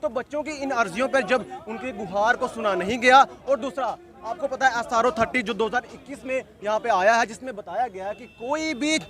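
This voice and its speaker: A man's voice: very high-pitched, a little fast, hardly any pauses, crowd noise. A man giving an insistent street interview, repeating phrases as he argues a political point. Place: outdoors at a street protest.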